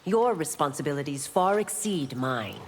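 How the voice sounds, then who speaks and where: An actress playing a stern, principled chief constable in a fighting game, speaking formally and with authority in a reverberant space. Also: female voice, low-pitched, lively intonation, moderate pace, a little hiss or hum. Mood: solemn, probing. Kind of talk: scripted fighting game dialogue.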